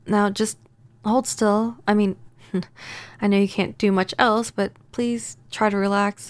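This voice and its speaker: A soft-spoken female vampire acted by a woman in an intimate ASMR roleplay. Her mid-pitched voice carries plenty of fry and sits very dry and close. She speaks haltingly and tenderly, with a pining, possessive longing. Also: dry recording, close to an everyday voice. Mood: wanting, coaxing. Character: lovelorn, possessive female vampire neighbor, gentle, hesitant, pining.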